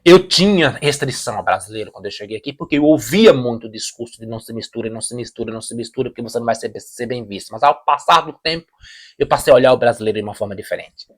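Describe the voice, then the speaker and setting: A man's voice: mid-range voice, fast. A man from Brazil with a Brazilian Portuguese accent, hosting a reaction video and giving emphatic opinions, often repeating phrases and restarting sentences. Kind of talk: casual opinion commentary.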